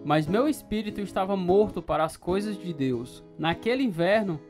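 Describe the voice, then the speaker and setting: A man looking back on his youth in a dramatized radio story. He narrates in a measured, reflective way, with plucked guitar music clearly behind him. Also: high voice, lively intonation.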